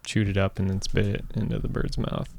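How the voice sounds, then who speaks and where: A man's voice: on the low side, steady tone, fairly quick, creaky, a little mellow, slight background noise. A man in casual, easygoing conversation, often chiming in with brief reactions. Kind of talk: casual chat.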